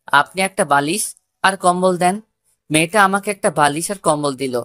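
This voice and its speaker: A woman's voice: on the low side, moderate pace, a bit bright, dry recording. A woman narrating a scripted first-person love story.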